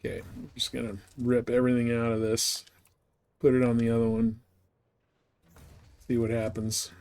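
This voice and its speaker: A man's voice: mid-range voice, level tone, moderate pace, a little mellow. A man livestreaming a video game, chatting casually and rambling as he thinks aloud while playing. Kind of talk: casual gaming stream chat.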